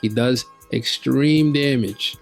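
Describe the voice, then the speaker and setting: A man's voice: mid-range voice, slow, vocal fry, soft background music. A man giving casual, slangy, hyped gaming commentary, ranking fighting game characters. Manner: slangy, hyped, laid-back street talk. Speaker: gaming video creator.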